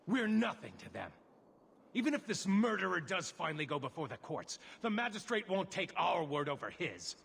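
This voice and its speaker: A man's voice: sits high, very animated, normal speed, creaky, a bit bright, breathy edge, exaggerated voice. A man playing a grieving, bitter elven merchant father in a fantasy video game, indignant and pleading. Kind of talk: dramatic video game acting.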